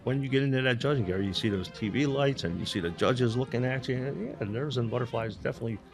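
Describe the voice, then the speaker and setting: A man in his sixties, a longtime string band captain, chatting in an interview with a naturally loud voice and frequent stammered restarts. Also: medium pitch, quick pace, soft background music. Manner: loud, projecting voice, repeats words when starting sentences. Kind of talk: casual interview chat.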